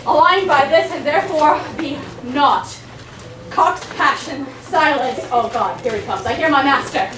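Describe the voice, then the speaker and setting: A woman's voice: high voice, a little slow. A woman playing a cheeky, grumbling male servant on an amateur stage, often breaking into laughter. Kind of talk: amateur stage acting of verse. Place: stage before a live audience. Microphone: distant room mic. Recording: echoey, distant, noisy hall recording.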